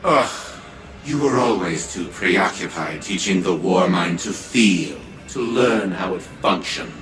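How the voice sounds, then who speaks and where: Arrogant elderly patriarch, deep voice, grandly formal, condescending, video game villainy, prominent music. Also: male voice, animated, slow, rough recording. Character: arrogant old patriarch scientist as an artificial mind. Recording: loud music under the voice.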